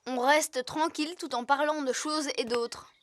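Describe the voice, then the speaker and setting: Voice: sits high, steady tone, unhurried, a little hiss or hum. A performer voicing an eager, confident young animal child in a French children's cartoon dub. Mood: chatty.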